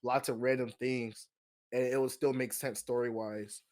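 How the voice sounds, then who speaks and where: A man co-hosting a podcast, talking casually and conversationally with lots of slang and animated opinions. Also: mid-range voice, normal speed. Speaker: podcast co-host. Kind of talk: casual movie discussion chat.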